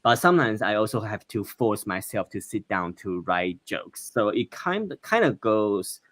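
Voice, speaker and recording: A young man from Taiwan speaks with a Taiwanese accent, his voice sitting fairly low. He talks plainly and earnestly over a video call, quick to laugh. The sound is close and dry, with no room around it. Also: animated, fairly bright tone, no echo. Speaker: stand-up comedian, guest. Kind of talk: interview conversation.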